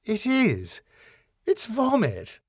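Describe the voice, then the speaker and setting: A man's voice: very high voice, huge pitch swings, extremely slow, slightly rough, no room sound, clean studio sound, pitched above the performer's own voice, heavily acted. A man voicing a stern female council inspector in a sitcom, formal, clipped and pompous. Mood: disgusted. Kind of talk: sitcom script read aloud.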